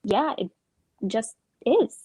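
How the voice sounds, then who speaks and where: A woman's voice: on the high side, big pitch swings, slow pace, dark tone, breathy edge, full character voice. A woman playing a weary, wry, guarded young suburban woman in a dramatic script reading, speaking in a dry, understated way. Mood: flat, resigned.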